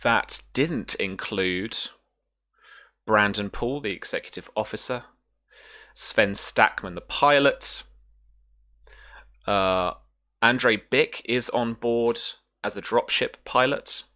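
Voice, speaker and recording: A game master narrating a sci-fi tabletop roleplaying game in short, hesitant phrases with deliberate pauses. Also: medium pitch, pushed and bright.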